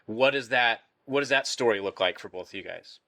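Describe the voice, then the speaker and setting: A man's voice: mid-range voice, fairly quick, pushed and bright, dry recording. A male host asking a long, hesitant question with restarts. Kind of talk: interview question.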